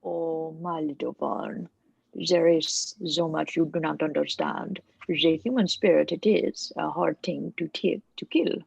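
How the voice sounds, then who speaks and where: Husky, creaky scheming doctor played by a woman. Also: deep voice, measured pace, darkish tone, no echo, dull audio, full character voice. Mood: ominous, patronizing. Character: scheming foreign woman doctor, grandiose and patronizing. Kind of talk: film script table read.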